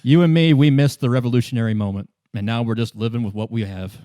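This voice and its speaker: A man playing a worldly, composed female company representative in a tabletop roleplay, explaining things in a halting, world-weary way. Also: mid-range voice, fairly even intonation, dark tone, dry recording, lightly acted. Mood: wistful. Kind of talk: in-character tabletop roleplay exposition.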